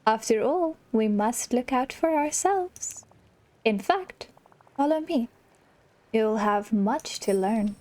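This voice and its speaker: A woman's voice: on the high side, expressive, takes it slowly, warm tone. A woman voicing a manipulative villainess who coaxes a young protégée in a theatrical, condescending manner, as in a game story. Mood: falsely reassuring.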